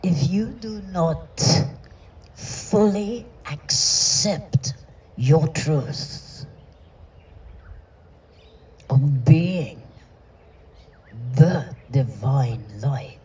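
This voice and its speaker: A spiritual teacher giving a slow channeled message to a group in formal, old-fashioned phrasing, often sinking into a breathy whisper. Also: medium pitch, darkish tone. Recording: distant mic with clear background noise.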